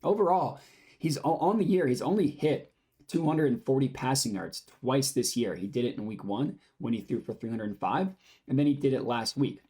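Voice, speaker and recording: Hoarse raspy man giving betting picks. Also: medium pitch, expressive, dark tone. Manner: upbeat, brisk, explaining odds to viewers. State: hoarse, lost voice from screaming. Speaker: sports betting channel host. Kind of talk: casual scripted betting picks talk.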